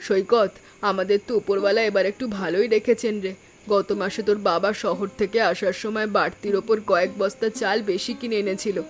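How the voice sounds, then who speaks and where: A middle-aged woman voicing a kindly, steadfast village mother in an animated family drama. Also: mid-range voice, brisk, natural voice. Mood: sympathetic. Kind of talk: animated moral story voice acting. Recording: faint music behind the voice.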